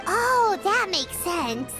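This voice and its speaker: Tiny childlike game fairy, super high squeaky voice, swoops all over, perky and nosy. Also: female voice, sing-song, very slow, heavily acted. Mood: surprised, curious. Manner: perky, inquisitive, speaks in exclamations. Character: tiny childlike floating fairy companion, female, chatty and curious. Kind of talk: video game voice acting. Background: tinkling playful music.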